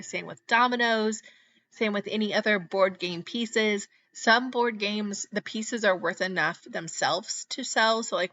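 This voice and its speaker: A woman in her thirties hosting her own channel, chatting casually in a voice-over, her voice slightly hoarse after being sick, with frequent ums. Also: fairly low pitch, sharp tone, dull audio. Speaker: channel host, reseller. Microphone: voice-over mic.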